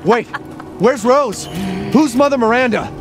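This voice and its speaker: A man's voice: very high-pitched, a little slow, loud music behind the voice. A man playing a wary, worried outsider father in a horror game, asking urgent questions. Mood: worried, confused.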